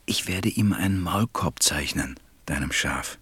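An actor playing a gentle, reflective storyteller, narrating a storybook radio play. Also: male voice, low voice, animated, a little slow, husky, dry recording, exaggerated voice. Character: reflective adult male narrator recalling a little friend.

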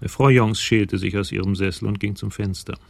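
A man narrating a scripted crime story in a radio drama, with a gravelly voice. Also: fairly low pitch, fairly even intonation, moderate pace.

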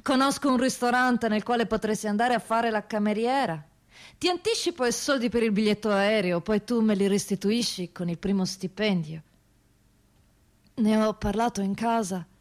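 A woman playing a young trafficked migrant woman, telling her hardship in a measured radio-theatre monologue with short halting phrases. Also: fairly high pitch, natural voice. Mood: reported urging.

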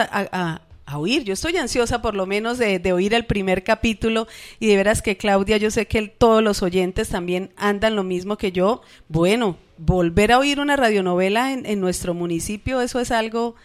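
A woman with a Colombian accent hosting a conversational radio interview. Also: mid-range voice. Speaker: radio host.

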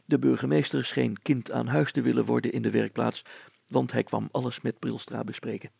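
A man's voice: mid-range voice, level tone, normal speed, vocal fry, dark tone, husky, no room sound. A man reading a scripted story aloud as its narrator.

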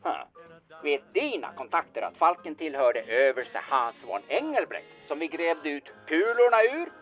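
A man's voice: fairly high pitch, very animated, muffled recording, soft background music. A man playing a bossy, scheming small-time fixer in an audio drama, insistent, sardonic and conspiratorial. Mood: bossy, urgent.

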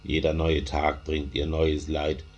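Man, mourning lament, chanting, very deep voice, husky, flat. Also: slow, dull audio. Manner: chanting with a repeated refrain. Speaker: lament reciter.

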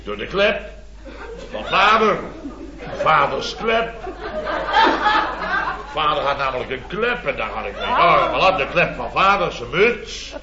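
A man's voice: on the high side, very animated, takes it slowly, pauses often, heavy background noise, heavily acted. A Dutch man acting a blustering, self-important building-firm boss in a radio comedy, rambling indignantly. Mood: aggrieved. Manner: indignant, rambling storytelling with tag words. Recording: dull, echoey vintage radio recording with audience.

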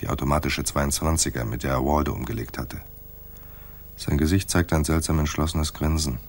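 Hard-boiled detective, low voice, wry and world-weary, old-school radio thriller. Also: male voice, fairly even intonation, a little fast, a little hiss or hum. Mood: brooding narration. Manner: dry, wry, clipped. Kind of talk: radio crime drama acting and first-person narration.

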